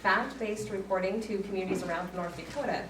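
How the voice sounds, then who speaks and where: A woman broadcaster with a loud voice, giving a chatty, self-deprecating talk to an audience in a community meeting room. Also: mid-range voice, fairly quick, distant mic. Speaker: guest speaker, broadcaster. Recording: echoey room audio recorded from afar, with noise.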